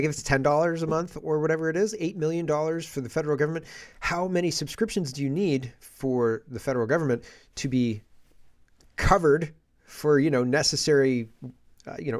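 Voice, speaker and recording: Scornful Canadian man, political podcast host. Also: a little high. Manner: blunt, scornful, colloquial. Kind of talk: casual opinionated news commentary.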